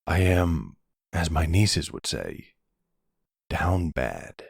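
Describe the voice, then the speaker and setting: Man acting a deep, gravelly mafia boss. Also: big pitch swings, dry recording, clean studio sound, exaggerated voice. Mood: tender, relaxed. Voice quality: low, gravelly. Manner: unhurried, affectionate, measured. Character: tender silver-fox mafia boss, older man, calm and devoted. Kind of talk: intimate boyfriend roleplay audio drama.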